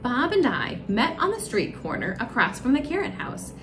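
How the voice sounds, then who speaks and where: A woman's voice: on the high side, sing-song, normal speed. A woman storyteller narrating a children's storybook aloud. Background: music.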